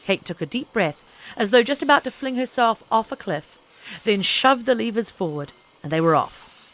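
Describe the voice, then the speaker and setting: A woman reading scripted fiction as an audiobook narrator, in an expressive, deliberate storytelling manner. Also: on the high side, low-quality recording.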